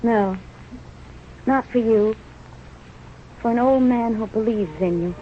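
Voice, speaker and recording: An actress playing a plucky, devoted young wife with frank, stubborn talk in a vintage radio drama. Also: female voice, fairly high pitch, takes it slowly. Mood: tender, admiring. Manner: frank, firm, plain small-town talk. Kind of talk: 1930s radio drama acting. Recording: tinny, noisy old radio recording.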